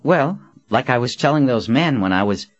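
A man's voice: mid-range voice, lively intonation, normal speed, no echo, dull audio, voice pushed up, natural voice. A man voicing a cocky young male assassin, boyish and mocking, with falsely polite, offhand talk. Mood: eager.

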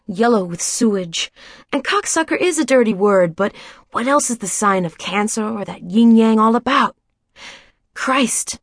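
A woman reads an intimate first-person monologue in an audiobook, musing at a middle pitch. The sound is dry and close. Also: dry recording. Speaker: narrator.

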